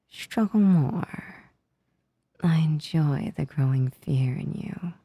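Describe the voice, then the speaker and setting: A female sleep paralysis demon, played by a woman for an ASMR roleplay. Her voice is low, husky and mellow, often sinking to a whisper very close to the mic. She speaks slowly, shifting between teasing menace and awkward tenderness. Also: no room sound, exaggerated voice. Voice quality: soft, breathy, often whispering. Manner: slow, intimate, close-up delivery. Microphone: close, dry microphone.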